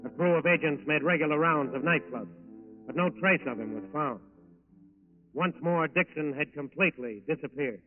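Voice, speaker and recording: A man's voice: a little high, normal speed, soft background music. A man delivering formal, dramatic scripted narration for a 1940s radio crime show. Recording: muffled old radio broadcast recording.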